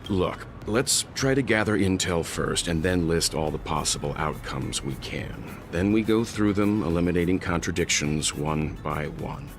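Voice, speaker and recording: An actor playing a grave, methodical mature man in a video game story, speaking seriously and with deliberate reserve. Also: low-pitched, expressive. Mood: grave, guarded. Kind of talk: scripted game story dialogue. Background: background music.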